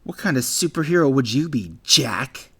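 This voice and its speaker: A man's voice: mid-range voice, sing-song, moderate pace, a bit bright, husky, pitched above the performer's own voice, full character voice. A man reading an audiobook, voicing a sneering, menacing bully boy who taunts his victim. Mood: taunting. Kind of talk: audiobook character voice.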